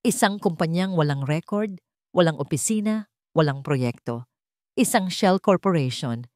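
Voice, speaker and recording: A woman reads a scripted dramatic story in a measured, dramatic way. Her voice sits a little low. The recording is dry and close, with no room sound. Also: animated, darkish tone, no echo.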